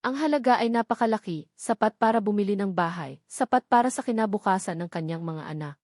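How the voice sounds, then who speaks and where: A woman's voice: medium pitch, lively intonation, fairly quick, darkish tone, no echo, studio quality. A woman narrator reading a scripted romantic drama in a storytelling style.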